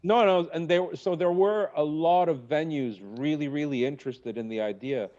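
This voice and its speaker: A man's voice: on the high side, normal speed, lo-fi sound. A man, a comedian guest, chatting casually on a podcast in a rambling, jokey way. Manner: rambling, jokey, lively asides.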